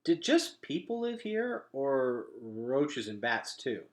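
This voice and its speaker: A man's voice: mid-range voice, big pitch swings, slow. A man voicing an awed, plain-spoken boy in a storybook, with casual, simple phrasing. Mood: curious, impressed.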